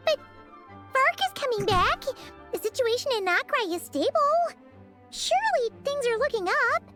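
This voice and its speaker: A woman voicing a tiny floating girl companion in a game, chirpy and excitable, with a very high squeaky voice. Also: normal speed, soft background music, exaggerated voice. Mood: upbeat.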